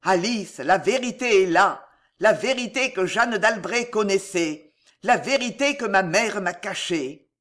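Man playing a gruff, indignant count, high-voiced. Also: animated, unhurried, a bit bright. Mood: distraught suspicion. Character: rough, suspicious young count, distraught and demanding. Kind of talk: dramatic audiobook character voice.

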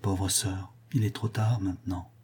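Audiobook role: tormented decent son, compassionate yet indignant, dark husky voice, done by a male reader. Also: fairly low pitch, normal speed. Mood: sad compassion. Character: anguished, honest son of a condemned criminal mother.

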